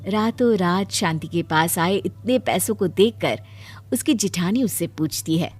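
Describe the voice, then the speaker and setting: A woman's voice: on the low side, a little slow, husky, faint music behind. A woman narrator reading a scripted children's cartoon story.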